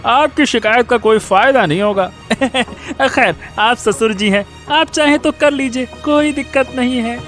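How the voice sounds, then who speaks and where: A man playing a spoiled, menacing young bully from a rich family in a story, taunting with drawn-out words. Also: very high voice, a bit bright, faint music behind. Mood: menacing, smug. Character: menacing, mocking young village bully, rich merchant's son. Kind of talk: Hindi audio story dramatic acting.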